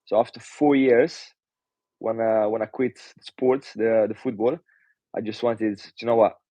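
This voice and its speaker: Romanian-born boxer, 20s, mellow hesitant talker, muffled dry audio. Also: male voice, lowish voice, fairly even intonation, unhurried, no echo. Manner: hesitant, lots of "uh" and "do you know". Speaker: pro boxer guest. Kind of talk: casual interview answers.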